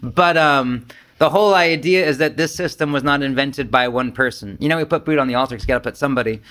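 A man's voice: medium pitch, fast talker, fairly bright tone. Male Zen teacher, mid-range voice, loose conversational talk, rambling, wry jokes at his own expense. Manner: rambling, self-deprecating, joking asides. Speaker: Zen teacher and podcast host. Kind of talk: casual, conversational dharma talk to a group.

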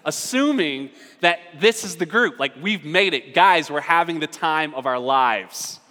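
Lively, bright-voiced pastor preaching in a hall. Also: male voice, fairly high pitch, measured pace. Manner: conversational, asks the audience questions. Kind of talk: sermon. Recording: long hall reverb.

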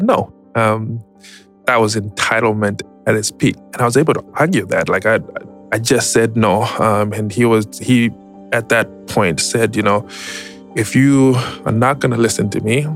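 A man tells a personal story as an interview guest, reflective and hesitant, pausing often. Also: fairly low pitch, creaky, soft background music. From Zimbabwe. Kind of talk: personal testimony, conversational storytelling.

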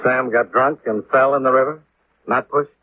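A man's voice: medium pitch, fairly even intonation, moderate pace, lightly acted. A man playing a wry, hard-boiled American insurance investigator in a 1950s radio detective drama, with clipped, dry delivery. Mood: dry, probing. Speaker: lead actor and narrator. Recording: muffled, lightly noisy 1950s radio recording.

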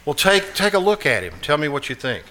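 A man's voice: a little high, very animated, a little fast, bright, forceful tone. A man playing an earnest, anxious, nosy neighbour, hesitant but insistent, in a live old-time radio suspense play. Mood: urgent. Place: live performance at a winery.

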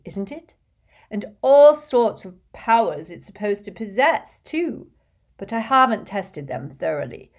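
A woman's voice: medium pitch, creaky, full character voice. A woman voicing a doting, gushing wealthy old woman who shows off her treasures in a fawning, breathless way, dropping now and then to coy whispers.

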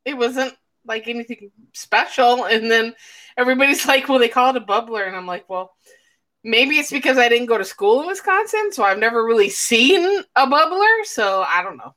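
A woman's voice: fairly high pitch, lively intonation, moderate pace, a bit bright. A woman from Illinois hosting a casual live chat, a little drunk, loose and laughing, swearing freely. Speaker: host.

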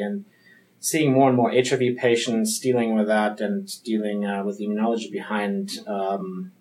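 A man with a German accent speaks as an interview guest in a lowish voice. He answers thoughtfully, often pausing with ums and restarting his sentences. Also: a little mellow, low-quality recording. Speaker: physician-researcher guest.

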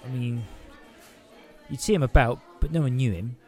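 A man's voice: mid-range voice, normal speed, soft background music, a faint crowd, natural voice. An actor playing a wary, vague man from the streets in a naturalistic audio drama, hesitant, clipped and offhand. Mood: guarded, unhelpful.

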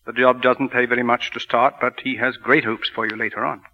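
An actor playing a kindly, dignified priest and college head in formal 1940s radio style, on a vintage broadcast recording. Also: male voice, mid-range voice, level tone, fairly quick, lightly acted. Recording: dull vintage radio broadcast, faint music.

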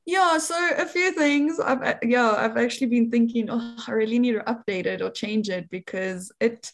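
A woman's voice: fairly high pitch, moderate pace. A young woman from South Africa with a South African accent, chatting as a researcher guest in an interview, laughing often and using many fillers. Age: young adult. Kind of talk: casual interview chat.

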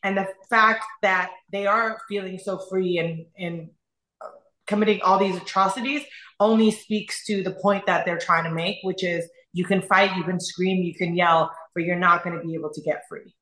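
Earnest woman from Iran, bright voice. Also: fairly low pitch, steady tone, echoey room, poor audio. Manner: earnest, halting, repeats phrases. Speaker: guest. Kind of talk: emotional personal testimony in conversation.

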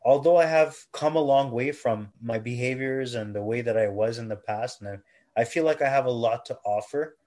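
A man in his twenties from Canada, earnestly and thoughtfully telling his own story in an interview, at times pausing to correct himself. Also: medium pitch, a little mellow. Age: 20s. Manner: earnest, measured, self-correcting. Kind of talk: reflective personal testimony.

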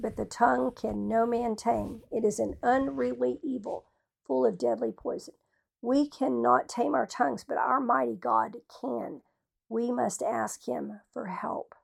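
A woman with a Southern US accent and a rough, hoarse voice, giving folksy, informal Bible teaching as a radio host. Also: fairly high pitch.

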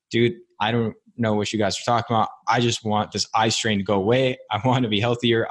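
A man's voice: lowish voice, a bit bright. A man hosting a podcast interview, chatting in a friendly, easygoing way and often calling his guest "man". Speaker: podcast host. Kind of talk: casual interview.

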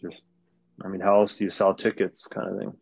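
Low-voiced man, rambling answers. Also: little pitch movement, fairly quick, creaky, no room sound. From Canada. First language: Arabic. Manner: rambling, many fillers and restarts. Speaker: wrestler guest. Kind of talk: casual interview answers. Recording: dull lo-fi dry sound.

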